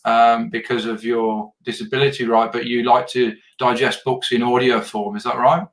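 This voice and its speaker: A man hosting a live video podcast interview, earnest and warm in his praise, often repeating words as he gathers his thoughts. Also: medium pitch, flat delivery, normal speed, poor audio. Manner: earnest, repeats words when searching for phrasing. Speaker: podcast host.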